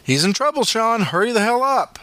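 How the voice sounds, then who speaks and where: A man voicing a worried male paramedic who barks at the driver and calms his injured patient. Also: very high voice, fairly quick, no room sound, pitched above the performer's own voice, heavily acted. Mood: urgent. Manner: urgent, calls the patient buddy. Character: worried male paramedic, urgent but comforting.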